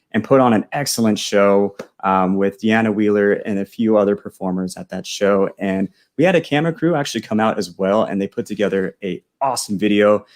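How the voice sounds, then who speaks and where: Low-voiced American man presenting casually. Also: darkish tone. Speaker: product specialist and host.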